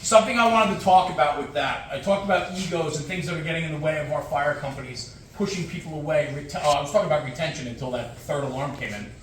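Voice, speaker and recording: A man's voice: on the high side, fast talker, few pauses, fairly bright tone. A man giving a motivational conference talk as a guest speaker, emphatic, in short punchy phrases with rhetorical questions and repetition. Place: echoey indoor room, speaking to an audience. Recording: echoey room, distant mic, faint noise.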